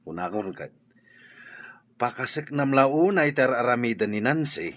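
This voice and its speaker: A man's voice: mid-range voice, animated, moderate pace, fairly bright tone, no room sound, highs cut off, close to an everyday voice. A man playing a bitter, reproachful character in an audio drama.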